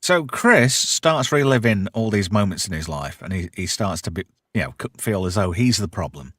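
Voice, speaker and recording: Sweary British man with a very animated delivery. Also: on the low side, moderate pace, breathy edge, no echo, studio quality. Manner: sweary, slangy, irreverent. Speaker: host of a pop culture review show. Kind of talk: casual recap chat.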